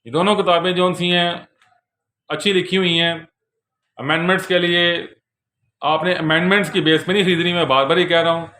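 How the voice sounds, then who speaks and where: A man's voice: high voice, fairly even intonation, normal speed, sharp tone. A man with a Pakistani accent giving an informal product overview in a conversational, explanatory way, often repeating his points, recorded on a handheld camera. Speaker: bookseller presenting law books. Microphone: handheld camera held in one hand.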